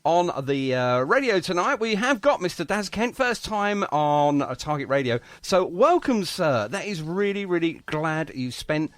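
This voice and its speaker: Lively, higher-pitched male radio host. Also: a little slow, hardly any pauses, dry recording. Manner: effusive, complimentary. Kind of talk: chatty radio music interview.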